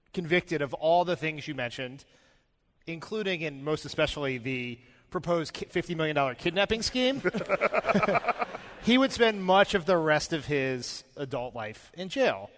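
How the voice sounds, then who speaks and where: An American man giving casual political analysis as a panelist, in an emphatic, deliberate way. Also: fairly high pitch, fairly bright tone.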